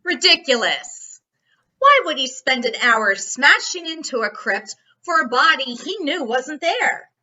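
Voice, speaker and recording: A woman's voice: high-pitched, very animated, unhurried, pushed and bright, full character voice. A woman acting in an old-time radio mystery as a blunt, skeptical police captain with a brusque, challenging manner. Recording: dull, limited-band sound.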